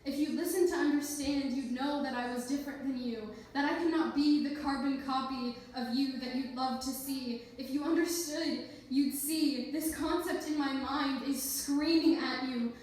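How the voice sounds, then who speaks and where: Female spoken-word poet, high pitch, heard from a distance in a heavily reverberant room. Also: normal speed, hardly any pauses, darkish tone. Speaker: slam poet. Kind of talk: spoken-word poetry performance. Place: echoey indoor event space, live performance. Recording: very echoey room, distant, faint noise.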